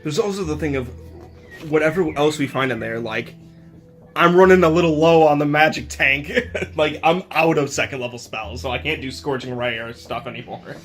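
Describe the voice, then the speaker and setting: A man's voice: a little high, normal speed, a bit bright. A man playing a tabletop game, chatting casually and jokingly with friends. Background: faint ambient music.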